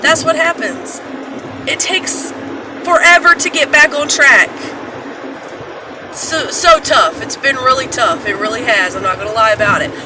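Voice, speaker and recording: A woman chatting casually to her viewers from her car, congested with allergies and sounding deeper than usual, rambling with fillers. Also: a little slow. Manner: rambling, loose, with many fillers. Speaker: vlogger. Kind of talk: casual vlog chat. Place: parked or driving car, morning. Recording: steady car noise behind.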